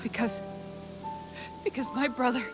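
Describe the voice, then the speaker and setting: Woman acting a grieving young captain, high intense voice. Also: fairly even intonation, measured pace, music in the background, lightly acted. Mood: hurt disbelief. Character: grieving, fierce young woman captain betrayed by her lord. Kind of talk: dramatic video game voice acting.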